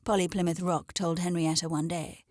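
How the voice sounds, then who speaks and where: A woman's voice: lowish voice, flat delivery, moderate pace, darkish tone, no room sound. Woman storyteller, dry close sound. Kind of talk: scripted children's story narration.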